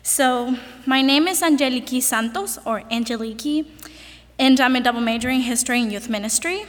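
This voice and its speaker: High-voiced young woman, echoey hall. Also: fairly quick, fairly bright tone. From Venezuela. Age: young adult. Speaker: graduating student speaker. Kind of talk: personal prepared speech to an audience. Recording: echoey hall with long reverb.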